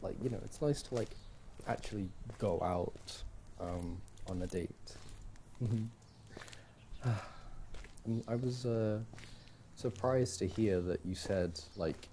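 Mellow, low-voiced young man, boyfriend roleplay. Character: easygoing, affectionate young boyfriend on a shopping date. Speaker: ASMR boyfriend roleplay performer. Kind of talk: ASMR boyfriend audio roleplay, casual one-sided chat. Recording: clearly audible background noise.

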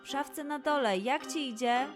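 A woman's voice: high voice, moderate pace, faint music behind, pitched above the performer's own voice, natural voice. A woman voicing a busy, caring lioness mother with a motherly, chivvying manner in a children's audio story. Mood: helpful.

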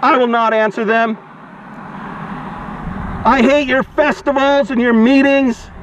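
A man's voice: very high-pitched, fairly even intonation, slow pace. A man street preaching outdoors, declaiming accusingly in short, projected phrases through a megaphone. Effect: amplified through a megaphone. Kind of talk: open-air protest preaching. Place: outdoors by a walkway and car park. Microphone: action camera beside the megaphone. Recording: dull megaphone sound, outdoor noise, cars.

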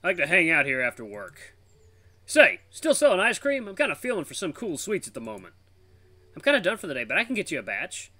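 A man's voice: on the high side, huge pitch swings, brisk, pushed and bright, no echo, pitched above the performer's own voice, heavily acted. A man voicing a hearty, folksy tiger in his fifties with a British accent, in a visual novel read-aloud. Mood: friendly. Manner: folksy, hearty, calls people lad and mate. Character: cheerful chatty tiger man in his fifties, war refugee.

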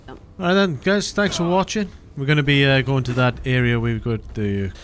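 A man's voice: medium pitch, brisk, slight background noise. A man hosting a co-op gaming video with casual, chatty commentary.

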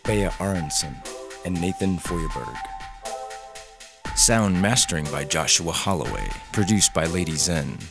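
A woman's voice: a bass voice, a little slow. A woman reading scripted credits for a radio drama. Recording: clear music under the voice.

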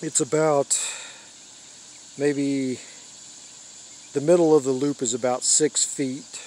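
An older American man giving a casual, unscripted show-and-tell in halting short phrases, recorded outdoors on a handheld device. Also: mid-range voice, steady tone, dark tone, slight background noise. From United States. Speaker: radio hobbyist giving a tour. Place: open rural backyard, outdoors. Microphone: handheld phone or camera mic.

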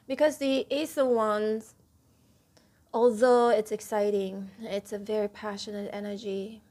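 A chatty woman lays out tarot cards at a home table and talks to her viewers, her voice a little stuffy. She leans on key words and keeps checking in with a quick "okay?". Her pitch sits in a middle range. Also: steady tone, mellow. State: slightly stuffy, clears throat. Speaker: tarot reader. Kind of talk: casual tarot reading to camera. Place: indoors at a table, home room.

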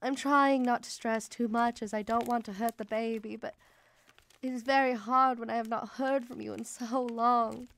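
Earnest young mother, high-voiced. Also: fairly even intonation, normal speed, a bit bright. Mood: anxious pleading. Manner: earnest, intimate letter reading. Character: devoted young Victorian mother writing anxious letters to her brother. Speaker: radio drama actress. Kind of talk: dramatic reading of letters in a radio drama.

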